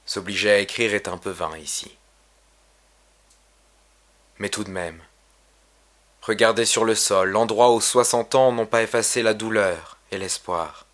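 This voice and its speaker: Guy reading his poem out loud, kinda low voice, serious and measured. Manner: solemn, measured, literary delivery. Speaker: poet reading own poem. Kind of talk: poetry recitation.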